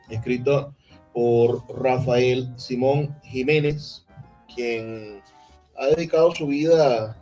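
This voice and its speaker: A man introducing a speaker at a live event, in halting, deliberate phrases. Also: mid-range voice, dark tone, low-quality recording. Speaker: event presenter. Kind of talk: live introduction at a book presentation. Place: live public event in a theatre.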